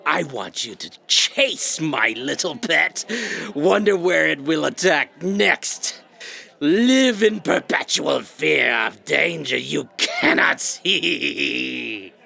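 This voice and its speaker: A man playing a bitter, grandiose invisible writer villain in an audio drama, melodramatic and raspy. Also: fairly high pitch, lively intonation, slow pace, pushed and bright, exaggerated voice. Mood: vengeful menace.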